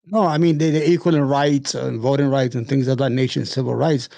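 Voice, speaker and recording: Gravelly blunt man on a call. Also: medium pitch, steady tone, a little fast, warm tone, no echo. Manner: blunt, provocative, broken phrasing. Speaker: debate guest. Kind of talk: heated online debate.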